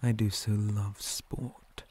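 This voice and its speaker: A man voicing a menacing, possessive male spider monster in an ASMR roleplay, breathy and often whispering, with slow, softly taunting, formal speech. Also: lowish voice, level tone. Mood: calmly menacing.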